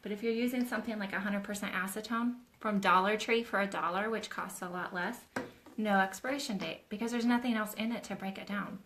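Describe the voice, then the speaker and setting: A woman's voice: medium pitch, steady tone, a little fast, fairly bright tone, a little hiss or hum. A woman hosting a casual live Q&A, teaching nail technicians in a chatty, hands-on way. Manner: chatty, demonstrates while talking, addresses viewers as "you guys".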